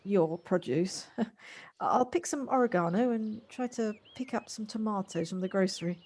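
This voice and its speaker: A woman's voice: mid-range voice, moderate pace, a little mellow, slightly rough, natural voice. A woman acting a polite, slightly hesitant, well-spoken woman in an audio play, recorded at home. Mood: friendly. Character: Jocelyn, polite warm woman settling into a house. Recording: home recording on personal device, faint noise.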